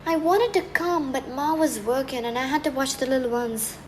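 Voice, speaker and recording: A teenage girl plays an innocent, kind factory girl in a radio play. She sounds high and earnest, with faint noise in the background. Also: moderate pace, natural voice. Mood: curious. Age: teenage high school student. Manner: earnest, questioning. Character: naive, kind-hearted young 1920s factory girl. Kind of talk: radio drama acting.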